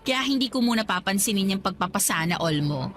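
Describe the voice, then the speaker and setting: A woman's voice: mid-range voice, expressive, quick pace, a bit bright, lightly acted. A Filipino woman playing a teasing but guarded old sweetheart in conversational Tagalog radio drama dialogue. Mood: playful, nostalgic. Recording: faint music bed.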